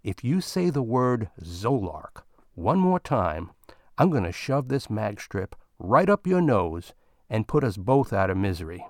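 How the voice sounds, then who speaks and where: A gruff, fed-up space miner who answers in curt, clipped lines, voiced by a man reading the story. The sound is close and dry, with no room around it. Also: lowish voice, very animated, measured pace, no room sound. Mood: irritated.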